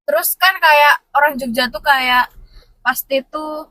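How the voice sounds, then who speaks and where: A woman's voice: high voice, measured pace, pushed and bright, no room sound, rough recording. A young woman from Solo hosting a casual group chat, chatty and asking questions with lots of fillers. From Solo, Central Java. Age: young adult.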